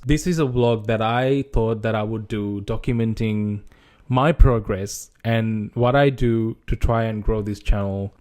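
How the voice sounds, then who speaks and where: Man with a Sri Lankan accent, Sinhala first language, mellow dark tone, mid pitch, casual hesitant vlog talk. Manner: hesitant, many restarts and fillers. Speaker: gaming channel creator.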